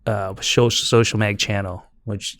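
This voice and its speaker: A man hosting a casual podcast interview, relaxed and retelling past exchanges in quotes. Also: lowish voice, measured pace, no echo. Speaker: podcast host.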